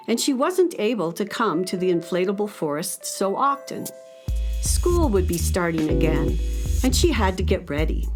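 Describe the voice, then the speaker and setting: A woman narrator tells a children's story in a mid-pitched, animated voice. Her phrasing is deliberate and expressive, and soft music plays behind her.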